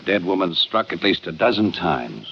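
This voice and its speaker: A dull old radio recording holds an American homicide inspector. His voice is deep, confident and deliberate, laying things out methodically. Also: sing-song, vocal fry, slight background noise, heavily acted. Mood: assured, deductive. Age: young adult. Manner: authoritative, deliberate, explanatory. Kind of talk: old-time radio detective acting.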